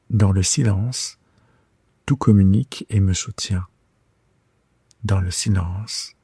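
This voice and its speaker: A man guiding a yoga nidra meditation for sleep in a soft, breathy, soothing and hushed voice, with unhurried phrasing and long pauses. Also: low-pitched, no echo. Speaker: yoga nidra guide and podcast host. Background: soft plucked guitar music.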